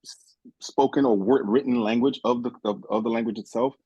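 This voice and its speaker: A man's voice: medium pitch, steady tone, a little fast, dark tone, slightly rough, dry recording, muffled recording. A man from Haiti whose first language is Haitian Creole, an author talking reflectively in an interview and repeating words as he thinks. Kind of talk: podcast interview.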